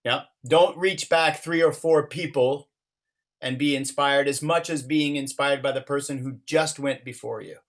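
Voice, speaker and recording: Slow, measured man coaching a group. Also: mid-range voice, steady tone. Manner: measured, careful phrasing. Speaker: workshop co-facilitator. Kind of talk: explanatory coaching.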